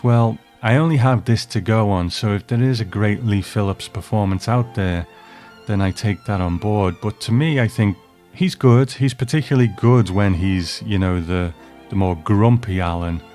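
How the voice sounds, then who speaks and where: A man's voice: lowish voice, moderate pace, a little mellow, soft background music. A man hosting a review, narrating from a script. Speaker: host.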